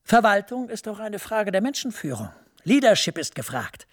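An actor playing a smooth, persuasive senior EU official who speaks in a measured way. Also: male voice, high-pitched, sing-song. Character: smooth senior EU director, middle-aged man, persuasive.

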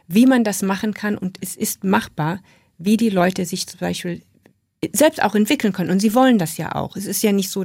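A woman's voice: medium pitch, creaky, darkish tone, no echo, studio quality. A middle-aged woman, a native English speaker, giving a thoughtful radio interview as an author, with frequent restarts and fillers. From Western New Guinea. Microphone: studio microphone.

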